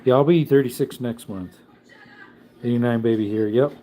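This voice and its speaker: A man's voice: moderate pace, dark tone, soft background music. A man in his thirties hosting a casual livestream from his home man cave, rambling and reading out viewer comments. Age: 30s. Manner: high baby-talk when calling his dog. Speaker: livestream host.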